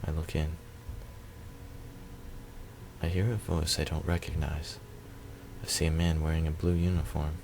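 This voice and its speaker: Male reader, extremely low and creaky, subdued measured narration for a visual novel. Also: little pitch movement, darkish tone, slight background noise, rough recording. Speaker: let's player reading a visual novel. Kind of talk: reading narration aloud.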